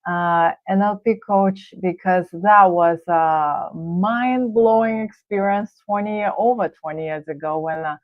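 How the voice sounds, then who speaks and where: A middle-aged woman with a Russian accent, a guest coach answering thoughtfully with frequent pauses and fillers. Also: on the low side, dry recording. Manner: thoughtful, halting with many fillers. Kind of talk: casual interview answers. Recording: tinny phone-like line.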